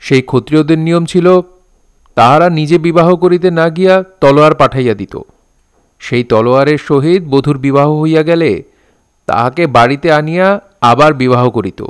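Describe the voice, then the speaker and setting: A man's voice: a little high, smooth, close to an everyday voice. A man playing a patient elder storyteller. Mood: storytelling. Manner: deliberate, old-fashioned storytelling diction. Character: patient elder man improvising a folk tale. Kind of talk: storytelling within an audio drama.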